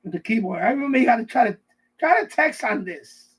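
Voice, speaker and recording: A middle-aged man hosting a casual live stream, rambling and often repeating himself, with a husky voice. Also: very high voice, lively intonation, fast talker, fairly bright tone. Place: basement.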